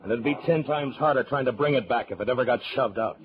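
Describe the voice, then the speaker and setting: A man's voice: medium pitch, fast talker, natural voice, rough recording. A man with an American accent playing a grim, stubborn middle-aged marshal in a radio western, terse and authoritative. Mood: firm. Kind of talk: mid-century radio western acting.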